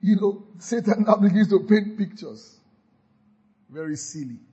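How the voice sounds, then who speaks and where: A man's voice: high voice, expressive, unhurried, mellow, husky, muffled recording. A man preaching a sermon, exhorting his listeners and leading them in repeated declarations with frequent hallelujahs. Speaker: pastor. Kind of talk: sermon with call-and-response declarations.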